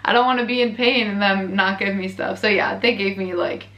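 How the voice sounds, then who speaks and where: A woman's voice: medium pitch, fairly even intonation, a little fast, dull audio. A young Canadian woman vlogger with a Canadian accent, chatting candidly and laughing often as she reacts to her own earlier video. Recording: echoey room.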